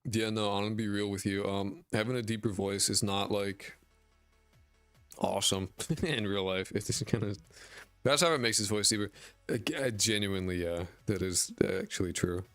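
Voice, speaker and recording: A man streaming after twelve hours, his raspy voice worn out, who mumbles and rambles casually with lots of fillers and swearing. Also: on the low side, normal speed, a bit bright, dry recording, soft background music. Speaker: indie game dev streamer. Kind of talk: casual live-stream chat reading viewer messages. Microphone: desk mic with a high-pass filter.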